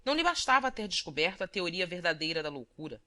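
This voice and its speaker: A woman reading a literary audiobook as narrator, in a formal, expressive manner. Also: lowish voice, fast.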